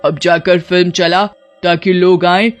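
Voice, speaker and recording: An evil cartoon witch, performed by a woman, gloats over her prey. She taunts in drawn-out, menacing phrases broken by cackles, with music playing underneath. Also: fairly low pitch, level tone, natural voice. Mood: gloating, commanding. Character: gloating evil witch who hunts villagers. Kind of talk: Hindi horror cartoon villain acting.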